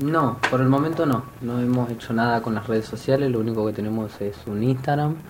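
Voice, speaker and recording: A young man, guesting on a radio show. He speaks softly and hesitantly at a mid pitch. Faint noise hums behind him. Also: brisk. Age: young adult. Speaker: guest musician. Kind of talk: radio interview chat.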